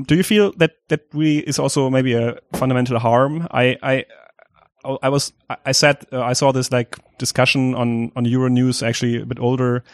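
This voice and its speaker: A man hosting a casual discussion, thinking aloud in halting sentences with many restarts. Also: mid-range voice, dry recording, studio quality.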